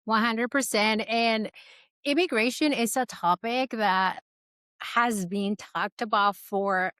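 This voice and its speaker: A woman's voice: on the high side, slow, fairly bright tone, clean tone, no room sound. A woman hosting a podcast who interviews her guest with enthusiasm, often affirming what she says. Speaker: podcast host.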